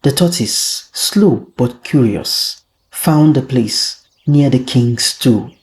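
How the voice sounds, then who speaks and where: A storyteller narrating a folktale in a dramatic, deliberate way with frequent pauses. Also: medium pitch, animated, darkish tone.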